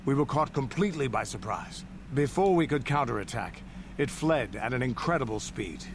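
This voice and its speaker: A man acting a gravelly, battle-proud veteran warrior. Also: medium pitch, close to an everyday voice. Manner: boastful, deliberate storytelling. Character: proud gruff veteran alien warrior man recounting war stories. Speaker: game character. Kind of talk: dramatic scripted video game dialogue. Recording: game audio under steady noise.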